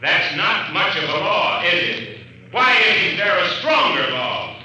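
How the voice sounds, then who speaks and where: High, sharp, pompous American man. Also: very animated, slow, full character voice. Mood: indignant. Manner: insistent, pompous, repeats himself. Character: indignant, pushy, self-important male civic club director. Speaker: boys club director. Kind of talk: 1950s radio drama acting. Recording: echoey hall, far from mic, faint noise.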